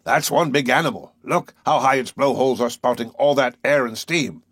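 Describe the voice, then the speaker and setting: A boisterous harpooner bursts out loud and boastful, his husky voice raised a little above the reader's own. A man reads him out in a dry, close recording, all excitable bluster. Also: mid-range voice, moderate pace, dry recording. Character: boisterous burly male Canadian harpooner, blunt.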